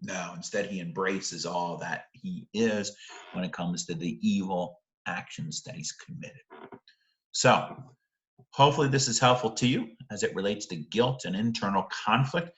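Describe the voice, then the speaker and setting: A man's voice: deep voice, measured pace. A middle-aged man giving an explanatory literature lecture in an emphatic way, often posing rhetorical questions. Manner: emphatic, uses rhetorical questions and check-in tags. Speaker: literature teacher. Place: indoor room, close to a webcam. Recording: roomy, echoing sound.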